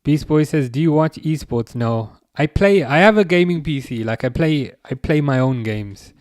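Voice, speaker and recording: A man's voice: fairly high pitch, moderate pace, dry recording, studio quality. Laid-back man reading out stream chat. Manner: relaxed, hesitant, many fillers and restarts; relaxing voice. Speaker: livestream host reading chat. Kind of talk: casual live-chat watch-along talk.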